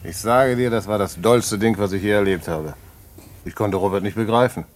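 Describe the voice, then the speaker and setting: An actor playing a boastful small-time crook in a radio play, telling a story in chatty, colloquial slang. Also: male voice, on the low side, moderate pace, close to an everyday voice.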